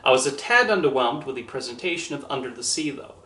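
A man in his thirties delivering a scripted movie review to camera in a measured, earnest way, standing in a small room. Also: mid-range voice, lively intonation, bright, forceful tone, echoey room. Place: small indoor room with posters and shelves. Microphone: camera at a few feet, standing.

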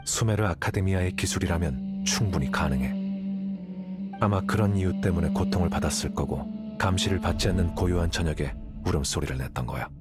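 Game role, male actor: rational young man of learning, low register, aloof, unhurried logic, matter-of-fact delivery. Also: over loud music, natural voice. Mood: analytical, calm. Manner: measured, detached, matter-of-fact. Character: aloof rational young male scholar, coolly self-assured. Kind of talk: anime game voice acting.

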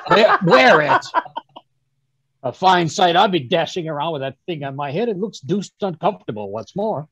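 A man playing a fussy, flustered gentleman sidekick in old-time radio style, husky and stammering over a video call. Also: high-pitched, very animated, unhurried, long pauses, dry recording, exaggerated voice. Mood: grumbling. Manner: stammering, blustering, muttering. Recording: muffled video-call audio.

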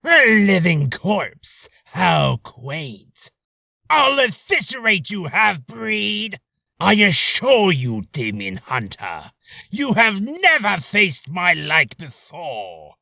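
A man's voice: high voice, huge pitch swings, slow, vocal fry, bright, forceful tone, dry recording, studio quality, exaggerated voice. A man voicing a haughty ancient demonic monster with grandiose, sinister relish for a voice reel. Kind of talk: character voice reel.

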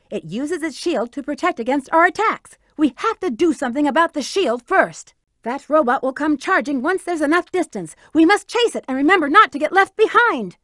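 A confident, flirtatious female bat treasure hunter from a video game. Her voice is high and swoops around with teasing, sultry sass. The recording is dry and close, with no room around it. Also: a bit bright, no room sound. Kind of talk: video game character voice acting.

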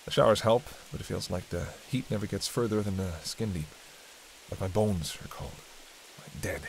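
Male actor as teasing vampire, lowish pitch, dry sarcasm, intimate roleplay delivery, light hiss and rain. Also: poor audio. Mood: weary, wry. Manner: hesitant, bantering, conversational with dry sarcasm. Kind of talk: intimate audio roleplay monologue. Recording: steady hiss and rain behind.

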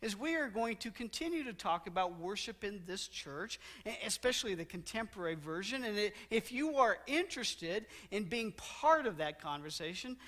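Middle-aged guy pastor preaching in a church, walks around, leans on words and repeats them slow. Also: high voice, expressive, fairly bright tone. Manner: preaching while walking the stage, gesturing broadly; repeats and stresses words, slows down for emphasis. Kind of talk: sermon. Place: church sanctuary.

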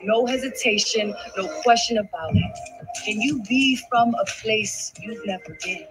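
A woman in her thirties performing her poetry in a rhythmic, expressive spoken-word style. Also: medium pitch, a little slow, poor audio. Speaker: poet. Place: studio. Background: music.

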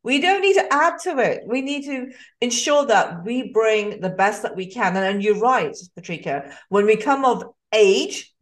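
A woman's voice: on the high side, animated, measured pace, few pauses. A woman in her fifties hosting a podcast over a video call, talking earnestly and eagerly on a headset mic, with many fillers. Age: 50s. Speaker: podcast host. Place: home room.

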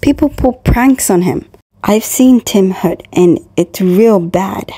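A woman's voice: medium pitch, slow pace, warm tone, husky, no room sound. A woman voicing a brash, loyal, combative young boy in a fan comic dub, with a blunt, argumentative manner. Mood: grudging, earnest.

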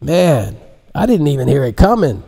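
A casual male assistant in an audiobook, played by a man reading. His voice sits higher, with an informal, questioning manner. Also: sing-song, normal speed, vocal fry, warm tone, full character voice. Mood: surprised. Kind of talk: audiobook character voice.

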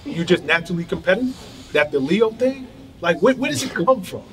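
A man's voice: sits high, animated, brisk, far from the mic. A middle-aged man hosting an interview in a small event room, asking teasing, probing questions. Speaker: interview host.

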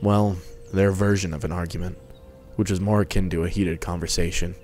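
Low, even-toned male narrator. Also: a little fast, faint music behind. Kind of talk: scripted story narration, dramatic reading.

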